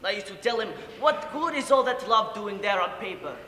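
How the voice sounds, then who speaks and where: A man performing a dramatic monologue as an old, boozy, guilt-ridden Ukrainian grandfather, speaking halting broken English. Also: sits high, animated, sharp tone. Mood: fond reminiscing. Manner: halting broken English with sighs and murmured asides. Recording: echoey hall, distant, audible room noise.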